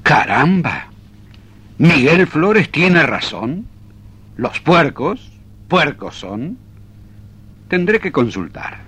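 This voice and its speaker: Slow, officious male office chief. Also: fairly high pitch, sing-song, a bit bright, husky, full character voice. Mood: startled, grave. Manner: formal, measured dictation. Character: officious male tariff department chief, bored bureaucrat. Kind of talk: 1970s-80s comic radio drama acting.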